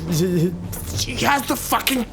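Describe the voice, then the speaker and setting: A man's voice: very high voice, expressive, takes it slowly, crowd noise. A man co-hosting a comedy podcast in casual chat, enthusiastic and stumbling over his words. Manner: stammering, swearing enthusiast. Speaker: podcast co-host.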